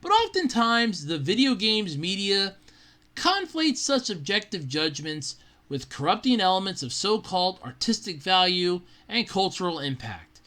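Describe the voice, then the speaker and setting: A podcast host reading out an article with sarcastic, animated delivery and casual, profane asides. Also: mid-range voice, measured pace.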